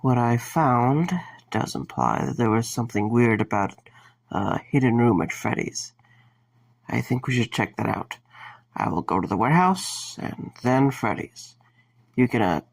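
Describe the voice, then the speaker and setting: A concerned, wry young man, acted by a man in an audio drama. His voice is medium in pitch with heavy fry, and he talks in a halting, low-key manner. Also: expressive. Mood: grave, determined.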